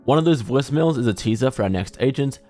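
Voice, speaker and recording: A man's voice: mid-range voice, lively intonation, quick pace, warm tone, soft background music. A man delivering scripted video commentary as a gaming lore commentator.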